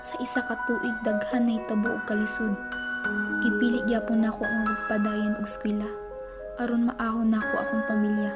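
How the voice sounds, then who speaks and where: Amateur radio play: young woman as determined student narrator, subdued, even delivery, loud musical bed. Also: fairly high pitch, normal speed, loud music behind the voice, natural voice. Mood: sombre, determined. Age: teens. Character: struggling, determined teenage girl student telling her life story.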